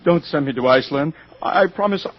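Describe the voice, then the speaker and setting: A man's voice: on the high side, fast talker, natural voice. A man playing a timid, cowardly man in a radio drama, stammering and whining as he pleads. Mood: panicked, pleading. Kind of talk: theatrical radio drama acting. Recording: dull, lo-fi radio drama recording.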